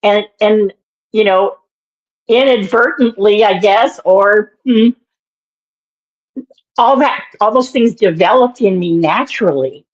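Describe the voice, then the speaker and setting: This woman in her sixties has a naturally raspy voice, roughened further by allergies that keep her clearing her throat. She speaks at a middle pitch and meanders, pausing and restarting as she recalls things. The recording sounds dull and lo-fi. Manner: meandering, halting, with many fillers and restarts. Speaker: guest, minister and author. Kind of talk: reflective interview storytelling.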